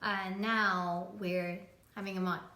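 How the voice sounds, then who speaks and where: A young woman from Japan co-hosting a relaxed chat in English, laughing often and using lots of "like". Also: medium pitch, slow, roomy sound. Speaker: co-host. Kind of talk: casual chat.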